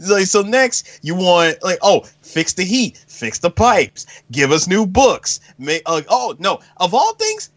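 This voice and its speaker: A man's voice: high-pitched, big pitch swings, a little slow, hardly any pauses, a bit bright. A man co-hosting a movie podcast, picking apart the plot with sarcastic quotes and frequent restarts. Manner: hesitant restarts, sarcastic quoting. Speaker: podcast co-host. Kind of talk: casual movie-review chat.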